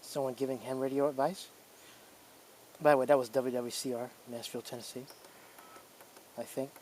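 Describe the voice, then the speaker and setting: A man's voice: mid-range voice, steady tone, normal speed, a little mellow, slight background noise, rough recording. A man presenting as a radio hobbyist, giving casual hands-on demonstration commentary.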